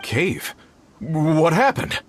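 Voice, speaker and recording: A man's voice: a little high, big pitch swings, extremely slow, a little hiss or hum, full character voice. A man playing an earnest, courteous leader of a work crew in a video game, speaking politely and plainly. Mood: puzzled.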